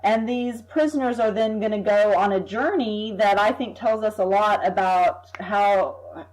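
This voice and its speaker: A woman academic answers at length as a podcast guest, her voice sitting at a middle pitch. She talks in a measured, thoughtful way and sometimes repeats a word while she thinks. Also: darkish tone, low-quality recording. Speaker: history professor, guest. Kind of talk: expert explaining in an interview.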